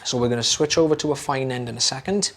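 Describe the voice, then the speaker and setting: Slightly hoarse British man explaining calmly. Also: medium pitch, quick pace. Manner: relaxed, chatty, many fillers and repeated words. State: slightly hoarse, losing his voice. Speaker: audiologist. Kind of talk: explaining a procedure to camera.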